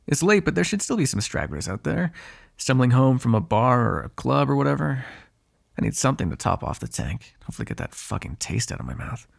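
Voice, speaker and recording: A man's voice: mid-range voice, very animated, brisk, vocal fry, heavily acted. A man playing a sardonic, foul-mouthed vampire on the run in an ASMR roleplay, talking in a conversational, exasperated way. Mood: weary.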